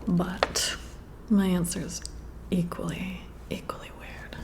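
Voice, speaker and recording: A woman's voice: fairly low pitch, little pitch movement. A woman playing a flirty, intrigued boss giving a personality test in an ASMR roleplay, whispering breathily and admiringly, close to the mic. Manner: slow, flirty, admiring, softly hesitant.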